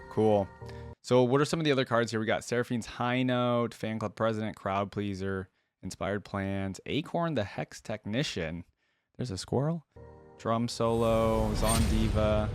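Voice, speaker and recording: A young man hosting a reaction video, commenting casually in a laid-back, musing way. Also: on the low side.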